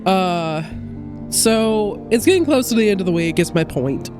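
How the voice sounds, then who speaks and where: A woman's voice: medium pitch, lively intonation, unhurried, over music. Congested woman chatting over gameplay. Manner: chatty, self-correcting, thinking aloud. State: congested from a cold. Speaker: let's play host. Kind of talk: casual gameplay commentary.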